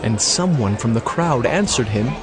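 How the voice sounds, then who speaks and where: Male narrator for audio Bible, scripted storytelling, mid-range voice, clear music bed. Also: medium pitch, expressive, normal speed, crowd noise.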